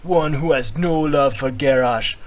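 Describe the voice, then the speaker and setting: Proud troll war leader, acted by a man: grave, emphatic dialect, very slow and deliberate fantasy game delivery. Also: medium pitch, voice pushed up, close to an everyday voice. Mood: grave, forceful.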